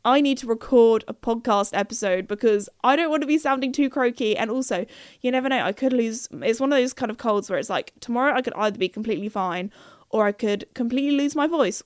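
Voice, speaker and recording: A young woman hosting a casual solo podcast, rambling between topics with lots of fillers, her voice slightly croaky from a cold. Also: fairly high pitch, no echo, studio quality. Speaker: podcast host.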